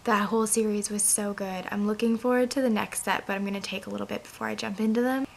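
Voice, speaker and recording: A young woman with a hoarse, raspy voice, chatting casually about books as a vlogger, with plenty of filler words. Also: medium pitch, fairly even intonation, quick pace, slight background noise. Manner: chatty, rambling, many "like" fillers. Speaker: book vlogger. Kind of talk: casual chat to camera.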